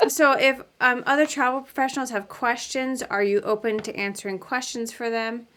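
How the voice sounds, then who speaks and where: A woman hosting a travel podcast over a live video call, asking questions and giving quick friendly affirmations. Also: on the high side, steady tone, fairly bright tone. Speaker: podcast host. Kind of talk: casual interview hosting.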